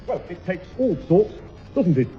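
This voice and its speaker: Blunt, animated Northern labourer. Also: male voice, high-pitched, normal speed, loud music behind the voice. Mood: cheekily familiar. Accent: Northern English. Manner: blunt, familiar. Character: blunt, rough-and-ready labourer fond of drink. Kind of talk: 1960s radio sitcom acting. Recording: muffled 1960s radio broadcast.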